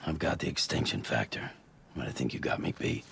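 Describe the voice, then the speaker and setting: An actor playing a withdrawn loner deliveryman in a video game, terse and reluctant, mumbling gruffly. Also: a little fast, fairly bright tone, in a whisper, exaggerated voice. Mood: wary, muted. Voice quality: low gravelly mumble. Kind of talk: cinematic video game acting.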